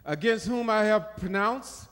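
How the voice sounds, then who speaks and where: A man with a high voice that swings freely reads Scripture aloud at a stand mic, putting in quick amens between the preacher's lines. The church room carries a long reverb. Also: expressive, fairly bright tone. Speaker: Scripture reader. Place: small church sanctuary.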